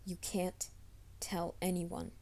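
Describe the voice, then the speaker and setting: A woman voicing a troubled young man who hides a werewolf nature, in a soft, hushed ASMR roleplay, often whispering. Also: lowish voice, monotone, slow pace. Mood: anxious whisper. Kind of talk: fan audio drama, ASMR roleplay.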